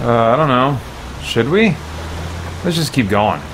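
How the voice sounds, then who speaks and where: Male streamer, mid-range voice, tired, casual play-by-play over clear game noise. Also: mid-range voice, animated, measured pace. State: tired after long gaming session. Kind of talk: casual gameplay commentary. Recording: noisy, game vehicle sounds behind. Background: video game sounds.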